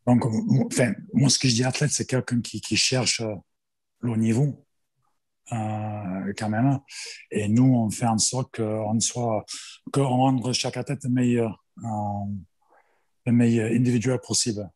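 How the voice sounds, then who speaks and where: Husky Australian man, hesitant speech. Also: mid-range voice, fairly even intonation, a little slow, a little mellow. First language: English. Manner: hesitant, many restarts and fillers. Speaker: strength and conditioning coach. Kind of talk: interview guest.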